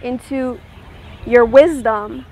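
A woman leading a guided meditation, giving gentle, soothing instructions and checking in with her listeners. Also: a little high, slow, slight background noise, poor audio.

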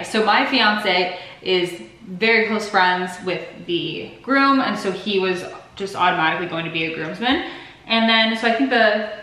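A woman's voice: medium pitch, a bit bright. A woman in her twenties, a bit sick and sniffly, chatting casually and rambling to the camera in her home kitchen. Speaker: vlogger. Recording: echoey kitchen.

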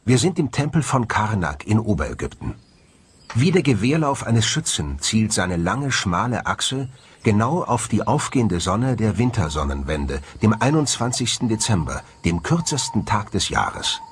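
A man delivering scripted documentary narration in a measured, dramatic way, as the presenter of the film. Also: lowish voice, very animated, slight background noise.

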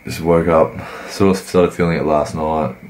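A man, croaky from a cold, giving laid-back, rambling voice-over commentary on his workout. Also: a bass voice, fairly even intonation, normal speed, darkish tone. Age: 20s. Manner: laid-back, rambling, many fillers and restarts. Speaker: fitness vlogger.

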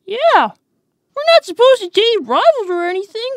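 A woman's voice: very high voice, very animated, normal speed, dry recording, clean studio sound, full character voice. A woman voicing a cheeky, nerdy little boy fox sidekick in a comic dub, chirpy and smug.

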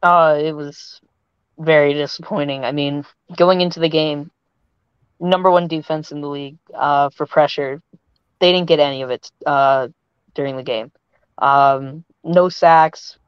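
A young man gives measured, detailed football analysis in a smooth, even voice. The webcam sound is dull and dry, with no room echo. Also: on the high side. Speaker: panelist. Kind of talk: casual sports analysis.

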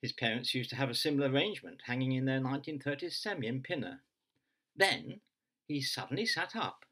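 Older man reading fiction, lively pitch. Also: fairly quick. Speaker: author reading from his book. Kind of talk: expressive story reading. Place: small bedroom, indoors.

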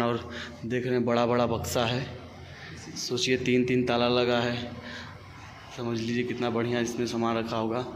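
A young man from India walking viewers through an outdoor athletics stadium, describing what he shows in a plain, direct way. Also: mid-range voice. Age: young adult. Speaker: vlogger, athlete. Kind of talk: walking tour narration. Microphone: phone camera, handheld. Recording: outdoor phone audio with background noise.

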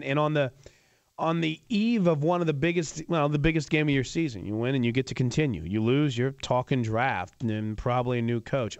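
A man's voice: medium pitch, clear, resonant tone, dry recording. A man in his thirties hosting sports talk radio, giving emphatic, opinionated takes in conversational banter. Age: 30s.

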